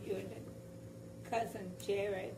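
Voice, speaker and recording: An elderly woman with a Hungarian accent, hoarse, chatting haltingly and searching for words in a family conversation. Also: medium pitch, flat delivery, distant mic, noisy background. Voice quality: gravelly. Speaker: guest grandmother. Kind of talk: casual family chat.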